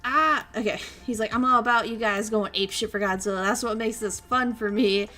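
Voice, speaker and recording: Young woman, streamer, casual chat, animated storyteller, laughs a lot, faint background music. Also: on the high side, brisk. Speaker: VTuber streamer.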